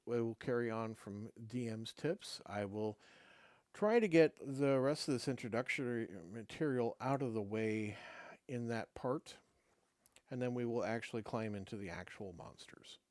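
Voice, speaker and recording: A man's voice: on the low side, darkish tone, dry recording. A middle-aged man hosting his own show, reading a game rulebook aloud with casual asides, his voice going dry and fading. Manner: halting, many fillers and restarts between read passages. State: losing his voice, dry throat.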